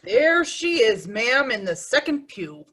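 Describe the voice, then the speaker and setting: A woman's voice: on the high side, very animated, slow pace, fairly bright tone, slightly rough, exaggerated voice. A woman playing a polite, grave police patrolman in a radio play. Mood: grave, official.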